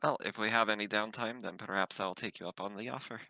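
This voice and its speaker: A man's voice: on the low side, quick pace, pushed and bright, no echo. A man playing a casual, wry female spaceship captain in a tabletop game, speaking in an offhand way.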